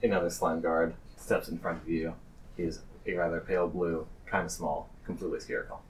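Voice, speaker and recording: A woman's voice: rumbling low, brisk. A woman improvising narration as the game master of a tabletop game. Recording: slightly distant mic, faint background noise.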